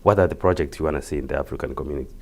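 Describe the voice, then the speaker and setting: A man with a Kenyan accent explaining things as an interview guest, haltingly and repeating words. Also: rumbling low, creaky, a little mellow. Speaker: interview guest, community health project worker.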